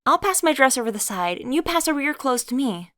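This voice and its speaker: A perky, eager young woman who pushes her friend along in a comic-dub story, as a woman reader puts her on. Her voice rides higher and brighter, keen and chatty. The recording is dry and close. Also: big pitch swings, fast talker, dry recording. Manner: upbeat and eager, high bright delivery.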